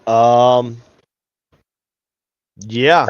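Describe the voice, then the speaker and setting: A man with a Southern US accent chatting casually on a podcast, rambling and folksy, quick to argue a point. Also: medium pitch, steady tone, clean tone, dry recording, muffled recording. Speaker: podcast co-host. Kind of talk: casual chat.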